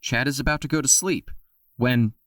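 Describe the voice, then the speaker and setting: A man narrating an audio drama with expressive storytelling. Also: medium pitch, moderate pace, no echo.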